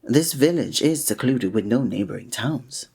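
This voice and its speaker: Man acting a breathy, courteous fantasy innkeeper. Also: mid-range voice, expressive, moderate pace. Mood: warm, curious. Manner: formal old-fashioned diction, gentle and attentive. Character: kindly, flirty male village innkeeper, courteous and tender. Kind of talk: scripted roleplay audio drama, one-sided conversation with the listener.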